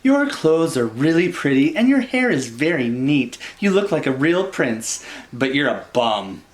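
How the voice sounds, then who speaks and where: A man's voice: fairly high pitch, big pitch swings, a little slow. A man voicing a bold, clever young princess in a storybook, exclamatory and emphatic. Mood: scornful.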